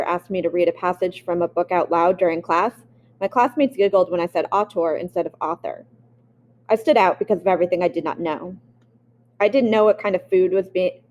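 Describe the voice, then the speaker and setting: A woman's voice: lowish voice, darkish tone, a little hiss or hum. A woman reading a memoir aloud. She reads expressively and sometimes stops to restart a word. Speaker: audiobook reader.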